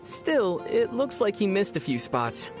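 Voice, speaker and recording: A man playing a young adventurer hero in a video game, with a youthful voice and a measured, thoughtful, gently wry manner. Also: high voice, big pitch swings. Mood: musing. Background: music.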